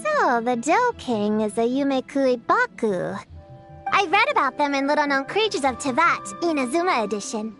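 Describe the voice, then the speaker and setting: A woman's voice: high-pitched, very animated, moderate pace, a bit bright, faint music behind. A woman voicing a bookish, articulate young girl in an anime-style fantasy video game. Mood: informative.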